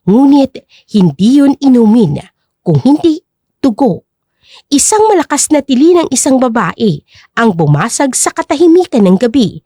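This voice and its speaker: High-voiced woman, dramatic storyteller. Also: dark tone, dry recording, clean studio sound. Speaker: story narrator. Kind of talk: dramatic scripted narration.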